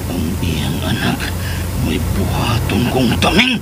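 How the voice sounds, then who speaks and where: A man's voice: deep voice, wildly swooping pitch, slow pace, heavy background noise, exaggerated voice. A man playing a jealous, lecherous, hot-headed troublemaker in a Philippine radio drama, badgering and melodramatic, with a Filipino Cebuano accent. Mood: scheming.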